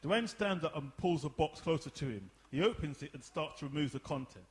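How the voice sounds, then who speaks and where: A man's voice: fairly high pitch, expressive, brisk, heavy background noise. A man narrating a scripted drama, reading out the stage directions.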